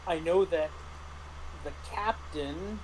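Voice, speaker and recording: A man in a casual discussion as a game master, speaking haltingly and often restarting his phrases. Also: on the high side, darkish tone, a little hiss or hum, poor audio. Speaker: game master reviewing a tabletop RPG.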